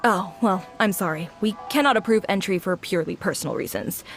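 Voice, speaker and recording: An actress playing a polite, officious border official in a video game, speaking in a formal, procedural way. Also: female voice, medium pitch, brisk, fairly bright tone, lightly acted. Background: music.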